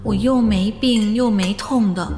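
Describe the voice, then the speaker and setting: An actress playing a soft-hearted, evasive young woman in a romance radio drama, with a hesitant, coaxing way of talking. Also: mid-range voice, measured pace, slightly rough, soft background music. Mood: hesitant, sulky.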